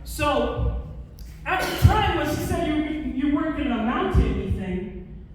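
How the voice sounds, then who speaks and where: High-voiced man in an echoey hall. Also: very animated, measured pace. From Calgary, Canada. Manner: engages the audience, tags lines with "right?"; restarts and repeats words often. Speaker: keynote speaker. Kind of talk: personal storytelling keynote. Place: large conference hall with an audience. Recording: echoey hall from far off, audience noise.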